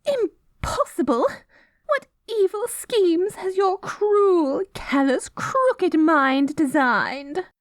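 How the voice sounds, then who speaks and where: A woman's voice: very high-pitched, expressive, drawn-out words, dry recording, studio quality, heavily acted. A woman voicing a vain, haughty, self-righteous queen in an audio roleplay, pompous, prim and melodramatic. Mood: shrill indignation. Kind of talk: scripted ASMR audio roleplay.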